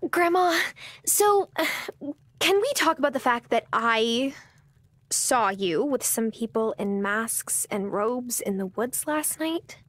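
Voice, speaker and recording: A woman's voice: a little high, animated, slow pace, a bit bright, no echo, heavily acted. A young woman playing a curious, earnest granddaughter in a video game, speaking in a hesitant, eager, conversational way. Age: young adult.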